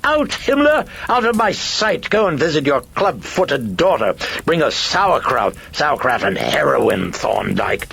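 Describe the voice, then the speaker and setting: A man voicing a manic, cheeky, foul-mouthed demonic personality with a hoarse British voice and flamboyant delivery in an audiobook story. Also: medium pitch, big pitch swings, moderate pace, few pauses, a little hiss or hum, voice pushed up. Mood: shrieking rage. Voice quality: hoarse, shrieking, rasping. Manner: flamboyant, giddy, swooping.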